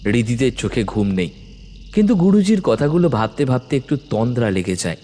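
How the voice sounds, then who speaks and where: A man reading a village horror story in measured, suspenseful narration. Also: medium pitch, very animated, dark tone, slight background noise.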